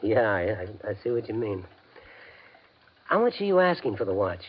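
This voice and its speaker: An actor playing a hapless, anxious gambler in a radio suspense drama, halting and colloquial in his delivery. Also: on the low side, very animated. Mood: wary, amused. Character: desperate, hapless everyman gambler in debt. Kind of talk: 1950s radio suspense acting with narration. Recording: dull, muffled 1950s radio recording.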